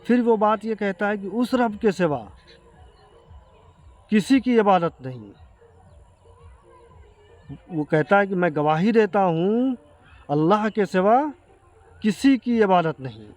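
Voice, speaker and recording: A man with an Indian accent giving an earnest explanation to viewers, speaking haltingly and repeating himself. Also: high voice, expressive, a little mellow. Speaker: video creator addressing viewers. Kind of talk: explanatory talk to camera.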